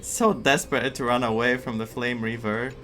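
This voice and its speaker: A man's voice: mid-range voice, steady tone, moderate pace, sharp tone. A man doing casual playthrough commentary as a gaming streamer, reacting with muttered asides and dramatic repetition. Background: game music.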